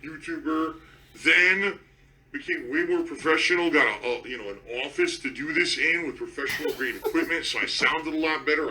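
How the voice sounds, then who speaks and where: A man with a raspy voice, a gaming streamer talking casually and reflectively to his viewers. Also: on the high side, pushed and bright, poor audio.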